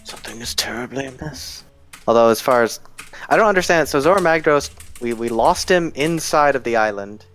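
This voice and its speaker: A man with a nasal, deadpan voice, chatting casually while watching a game stream. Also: mid-range voice, brisk, soft background music. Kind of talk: casual gaming chat.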